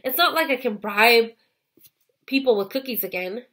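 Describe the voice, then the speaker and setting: A woman's voice: fairly high pitch, normal speed, sharp tone. A woman voicing a shy, earnest young schoolgirl in a children's storybook, speaking politely. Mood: thoughtful.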